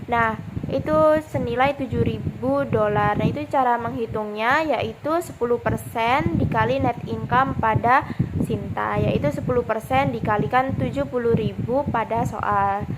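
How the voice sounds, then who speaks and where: A young woman, explaining step by step in a student presentation, informally and haltingly, repeating and correcting herself. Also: fairly high pitch. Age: young adult. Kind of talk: explaining accounting exercise in a presentation. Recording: noisy amateur recording with clicks.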